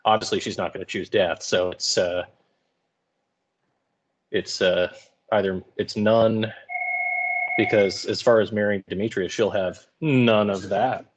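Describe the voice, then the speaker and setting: A man's voice: on the low side, steady tone, a little slow, pauses often, slight background noise. A man speaking as an English teacher, giving informal lecture commentary in a colloquial, wry way.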